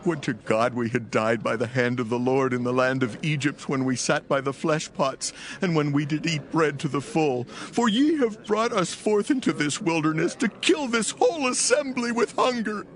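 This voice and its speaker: Man acting grumbling biblical wanderer, highish male voice, bitter, aggrieved, accusing, scripture drama. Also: animated, moderate pace, few pauses, close to an everyday voice. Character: bitter complaining hungry male Israelite.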